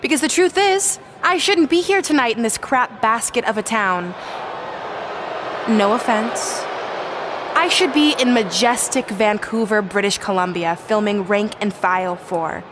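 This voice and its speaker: A self-absorbed Hollywood actress and fighter , acted by a woman with a high voice. She talks down to everyone in theatrical wrestling game promos, over clear background noise. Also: a little slow, natural voice. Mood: smug, aggrieved. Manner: condescending, theatrical.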